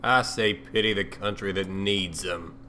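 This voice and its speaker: Man playing a gruff, forceful commander, noisy recording. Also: low-pitched, unhurried. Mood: scornful, bitter. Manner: commanding, sardonic, blunt. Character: gruff, defiant American man leading dragon slayers. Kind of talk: audio drama acting.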